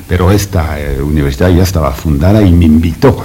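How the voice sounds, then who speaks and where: A man with a French accent answering interview questions in careful, halting speech full of hesitations and restarts. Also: low-pitched, dark tone, slightly rough. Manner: slow, halting with many "eh" fillers and restarts. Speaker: interview guest, French academic.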